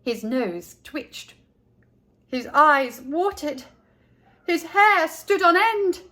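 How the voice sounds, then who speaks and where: A woman's voice: high-pitched, expressive, extremely slow, a bit bright. A woman narrating a children's story, reading aloud expressively as a storytime narrator.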